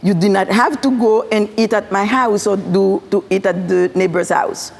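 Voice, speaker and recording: A woman's voice: mid-range voice, fairly even intonation, normal speed, gravelly, a little mellow. A woman from Haiti, a physician and farmer, answering interview questions earnestly and repeating phrases in lists. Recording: long hall reverb.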